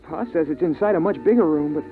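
A man's voice: fairly high pitch, fast, lightly acted. A young American man playing an earnest, uneasy boy in a 1950s radio drama, with plain, hesitant, boyish storytelling. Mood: matter-of-fact. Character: earnest, uneasy boy narrating life on frozen Earth. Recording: tinny vintage radio recording, music behind.

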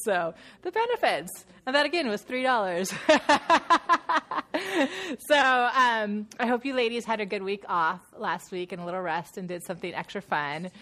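A woman's voice: mid-range voice, measured pace, a bit bright. Nasal-sounding woman leading Bible study. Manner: chatty and laughing, with many fillers and restarts. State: nasal from a sinus infection. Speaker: women's Bible study leader. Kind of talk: casual talk to a women's group. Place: church meeting room.

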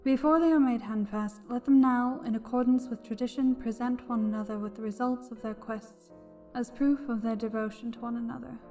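A woman's voice: fairly high pitch, fairly even intonation, a little fast, warm tone, soft background music, natural voice. A woman playing a solemn officiant at a fae wedding in a fantasy audio roleplay, speaking in formal, archaic ceremonial style. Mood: solemn, welcoming.

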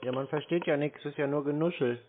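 A man's voice: on the high side, fast talker. A man talking casually and sceptically on a phone call, challenging the other person in a dry, needling way. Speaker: scambaiter taking a scam call. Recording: narrow, muffled phone sound.

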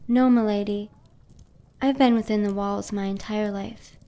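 An actress playing a meek, proper and sheltered young highborn girl in a fantasy audio drama. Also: fairly even intonation, a little slow, dark tone, natural voice.

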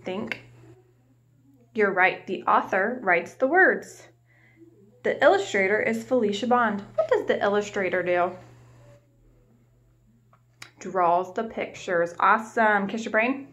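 A woman's voice: mid-range voice, animated. Young woman reading a picture book aloud. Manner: warm teacherly, asks the children questions and pauses. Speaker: preschool teacher reading aloud. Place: small room at home by a window. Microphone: webcam at arm's length.

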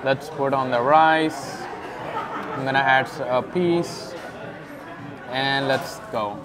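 A man's voice: mid-range voice, slow. A young man hosting a food vlog, speaking on a lapel mic in a restaurant dining room and describing each dish casually as he tastes it. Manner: relaxed, describes each dish while tasting and chewing. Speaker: food vlogger host. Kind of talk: casual food review narration. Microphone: clip-on lapel mic. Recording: restaurant crowd chatter behind. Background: diners chatting.

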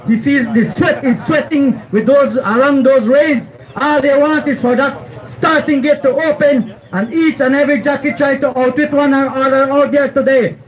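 Man, middle-aged, very high voice, live race call, outdoor track, handheld mic. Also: low-quality recording. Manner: excitable, rising to shouts at the finish. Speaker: race announcer. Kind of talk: live horse race commentary. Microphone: handheld mic held close.

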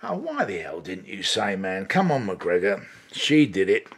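A gruff, sarcastic police inspector. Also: male voice, low-pitched, huge pitch swings, unhurried, exaggerated voice. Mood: cynical, brash. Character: rude, cynical, bullying police chief inspector. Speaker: actor in a radio drama. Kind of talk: radio drama acting.

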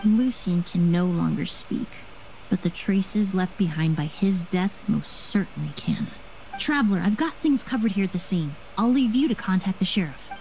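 A woman voicing a composed, courteous young investigator in a video game, speaking with measured, precise politeness and gentle sympathy. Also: medium pitch, animated, a little hiss or hum, close to an everyday voice, low-quality recording. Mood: solemn, composed.

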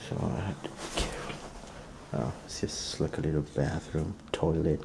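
A young man vlogging as he explores an abandoned house, talking excitedly to his viewers, recorded on a handheld camera. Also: rumbling low, normal speed, vocal fry, background noise. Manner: excited, addresses viewers as fams. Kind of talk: casual exploring vlog. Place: outdoors and inside an abandoned rural house. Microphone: handheld camera at arm's length.

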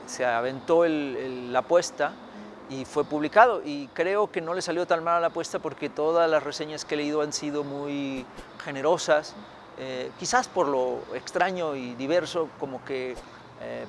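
Middle-aged man from Mexico, slightly high voice, reflective interview answers with hesitations, faint traffic outdoors. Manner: thoughtful, many fillers and restarts. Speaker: historian author. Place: outdoors by a building.

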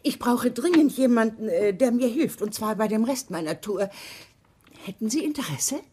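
A needy, theatrical entertainer in a sitcom. Her very husky voice swoops about as she gushes dramatically. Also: fairly high pitch, animated, normal speed, mellow. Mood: coaxing. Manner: effusive, dramatic. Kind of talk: dubbed sitcom acting.

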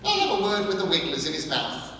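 A man voicing a brisk, bossy old countrywoman who is boastful and dismissive. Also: fairly high pitch, wildly swooping pitch, pushed and bright, voice pushed up, heavily acted. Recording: echoey hall, distant mic, noisy.

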